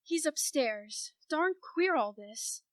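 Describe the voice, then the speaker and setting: A woman playing a brisk, wry, inquisitive newspaperwoman in an amateur radio play. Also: sits high, lively intonation, dry recording. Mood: wry, suspicious.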